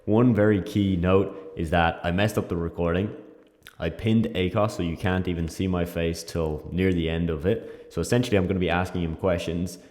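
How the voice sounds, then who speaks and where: Low-voiced guy hosting a live call, upbeat, keeps saying man. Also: steady tone, darkish tone. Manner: upbeat, quick, says "man" a lot. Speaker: host and coach. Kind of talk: casual interview on a live call. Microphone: video call.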